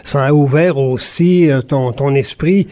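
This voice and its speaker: A man's voice: mid-range voice, measured pace, warm tone. A man, the show's producer, interviewing the host in a courteous, formal way. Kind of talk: radio-style interview.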